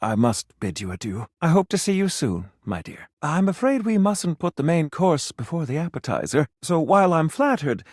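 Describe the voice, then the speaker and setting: A man's voice: a little high, sing-song, moderate pace, hardly any pauses, darkish tone, no room sound, clean studio sound. A man voicing a pompous, flirtatious gourmet food critic for a video game, with florid, formal, dramatic delivery. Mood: gracious, flirtatious. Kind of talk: theatrical video game voice acting.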